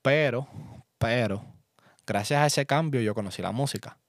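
A young man from Puerto Rico with a Puerto Rican accent, hosting a casual solo podcast from his home studio in a relaxed, chatty way with lots of fillers. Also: medium pitch, moderate pace, no room sound. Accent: Puerto Rican Spanish. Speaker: podcast host and music producer.

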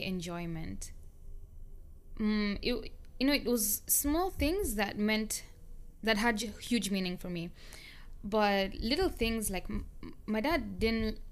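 A young woman speaks alone as a podcast host. Her delivery is earnest and conversational, built from short, considered phrases. She sounds reflective, as if thinking aloud to her listeners, at an ordinary middle pitch. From born in the US, grew up in Kenya. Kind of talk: reflective solo podcast monologue.

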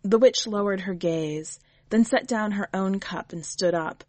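A woman reading a short story aloud in an even, steady narration for a podcast. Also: mid-range voice, unhurried, dry recording. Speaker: podcast editor and story reader. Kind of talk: scripted audiobook narration.

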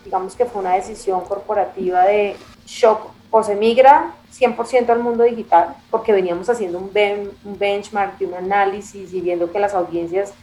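A woman's voice: mid-range voice, steady tone, normal speed, hardly any pauses, dark tone, slight background noise, rough recording. A woman from Bogotá with a Colombian capital accent, speaking as an interview guest in a casual, reflective and emphatic way, repeating phrases for stress. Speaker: interview guest, music and culture magazine editor.

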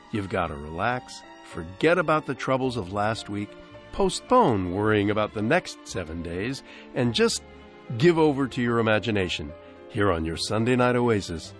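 A man's voice: lowish voice, very animated, normal speed, soft background music. An American man hosting a radio show, reading a scripted introduction to vintage programs. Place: dry broadcast studio.

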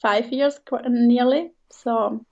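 A woman's voice: on the high side, steady tone, takes it slowly, lo-fi sound. A woman in her thirties from Switzerland, a guest chatting casually in an interview, hesitant and often restarting with light laughs.

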